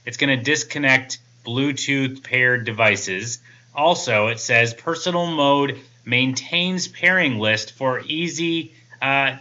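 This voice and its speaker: A man's voice: medium pitch, sharp tone, dull audio. A man in his thirties giving a casual, methodical product setup walkthrough as a tech reviewer. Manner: methodical, informal step-by-step explaining.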